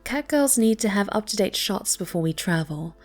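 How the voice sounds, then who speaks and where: A woman playing a snobby, pouty young cat girl with a soft side in an ASMR roleplay. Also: mid-range voice, animated, moderate pace, soft background music, close to an everyday voice. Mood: sulky. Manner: haughty, pouty, dismissive.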